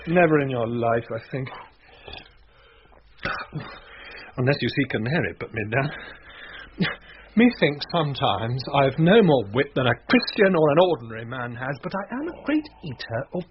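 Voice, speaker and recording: Gullible fop knight, played by a man, animated higher voice, earnest dimness, radio comedy, noisy recording. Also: normal speed, natural voice. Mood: puzzled. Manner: dim, earnest, puzzled, eager to please. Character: foolish, gullible, vain gentleman knight, easily discouraged. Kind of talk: BBC radio Shakespeare comedy acting. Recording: dull, noisy, clicky radio broadcast copy.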